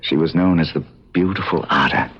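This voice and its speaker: An actor playing a haunted, bitter man in an old-time radio drama, brooding and deliberate, with dramatic pauses. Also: deep voice, slightly rough. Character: haunted, bitter man traumatised by his parents' past. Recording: dull lo-fi vintage radio broadcast.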